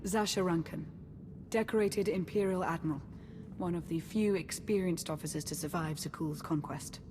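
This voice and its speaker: A woman's voice: on the low side, normal speed, natural voice. An actress playing a courteous, assured female adviser in a video game, speaking formally. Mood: composed, courteous.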